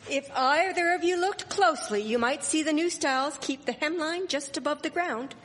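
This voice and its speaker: A woman playing a sharp-tongued, indignant Victorian village wife in a live radio drama, scolding and sarcastic in formal diction. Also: high-pitched, moderate pace. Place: performing arts theatre stage. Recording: echoey hall, live stage performance.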